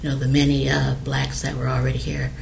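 Middle-aged woman from samoa, halting with lots of uhs, pretty monotone. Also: very deep voice, gravelly, a little hiss or hum. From American Samoa, raised in Hawaii. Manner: hesitant, many fillers. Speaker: interviewee. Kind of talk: oral history interview.

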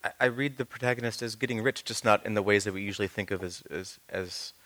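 A man moderating an onstage conversation, halting with restarts and stammered repeats. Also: fairly low pitch. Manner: hesitant restarts, warm encouragement. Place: live event with audience.

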